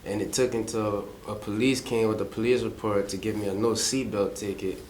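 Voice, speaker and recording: A man in his twenties telling a personal story in a lively, conversational way. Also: lowish voice, a little fast, roomy sound, slight background noise. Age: 20s. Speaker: co-host. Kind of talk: casual storytelling.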